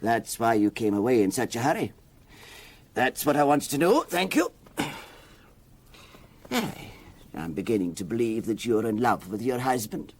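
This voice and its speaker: An actor playing a shrewd police doctor in a radio drama, with a wry, teasing, probing manner. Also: male voice, medium pitch, lively intonation, normal speed, long pauses, darkish tone, husky. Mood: needling, wry. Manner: wry, needling.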